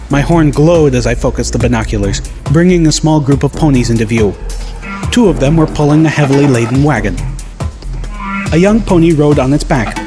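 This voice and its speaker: A man reading a first-person scripted story as an audiobook narrator. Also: a little high.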